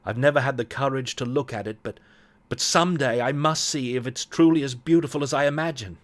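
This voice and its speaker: A man's voice: mid-range voice, a little fast, voice pushed up, close to an everyday voice. Man putting on a wistful, mysterious gothic young woman. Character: yearning, fragile young woman, mysterious gothic witch singer.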